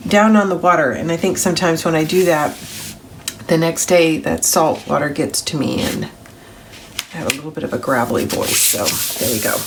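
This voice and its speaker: Chatty woman with a slightly gravelly voice. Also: lowish voice, background noise. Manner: chatty, step-by-step, self-correcting. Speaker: papercraft tutorial host. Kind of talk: crafting tutorial voice-over.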